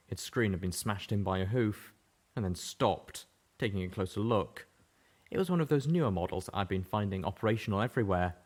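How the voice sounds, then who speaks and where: A man narrating a fan-fiction audiobook with a steady, even-paced reading voice. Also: low-pitched. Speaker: audiobook reader. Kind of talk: scripted story narration.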